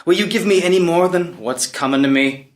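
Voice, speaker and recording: Man acting a high-voiced, proud young prince. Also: brisk, natural voice. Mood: defiant, resentful. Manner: declamatory, florid, emphatic. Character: proud, impetuous young prince, defiant and passionate. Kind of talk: unrehearsed dramatic play reading.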